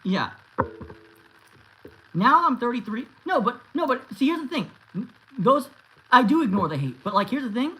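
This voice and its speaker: A man's voice: very high-pitched, very animated, normal speed, long pauses, pushed and bright, low-quality recording. A man in his thirties chatting casually on a livestream and answering viewers, rambling with many restarts and fillers. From United States. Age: 30s. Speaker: YouTube host answering live chat.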